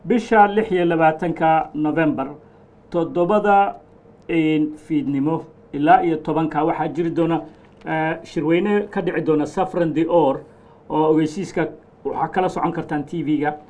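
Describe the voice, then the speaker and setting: A middle-aged man from Somalia hosting a TV studio discussion, speaking haltingly with many fillers and restarts. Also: a little high, a little mellow. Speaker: TV talk show host. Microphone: lapel mic.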